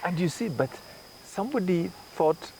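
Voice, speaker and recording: A man's voice: high-pitched, expressive, moderate pace, a little mellow, a little hiss or hum, low-quality recording. A man from Kenya, a journalist guest in an interview, who explains his points patiently with examples. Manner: thoughtful, explains with examples and asides. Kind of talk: conversational interview.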